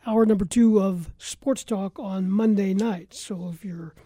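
A male sports radio host with a mellow, relaxed tone. He talks conversationally as he runs the show, and the sound is close and dry. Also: high voice, measured pace, no echo. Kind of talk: conversational sports talk hosting.

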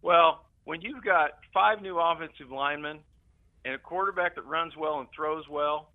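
A man's voice: on the high side, normal speed, dry recording. An older American man calling in to a radio show, giving casual sports opinions. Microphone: phone call. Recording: narrow phone line sound.

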